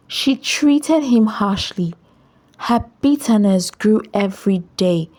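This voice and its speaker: Casting: female folktale narrator, slow measured delivery, close dry sound, scripted storytelling. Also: medium pitch, warm tone, no room sound.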